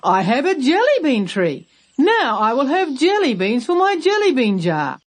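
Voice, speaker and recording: A woman's voice: high-pitched, huge pitch swings, moderate pace, voice pushed up, full character voice. A woman voicing a jolly, exclamatory old grandpa in a children's storybook. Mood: excited. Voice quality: thundery when singing. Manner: exclamatory, gleeful. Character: jolly excitable old grandpa with a jiggly tummy.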